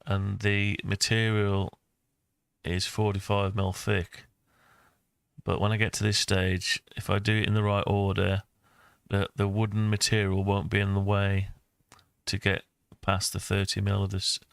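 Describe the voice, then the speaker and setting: Low, even-toned older man, thinking aloud. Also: unhurried, creaky, smooth, no room sound, clean studio sound. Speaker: maker and video host. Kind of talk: casual screen-recorded tutorial talk.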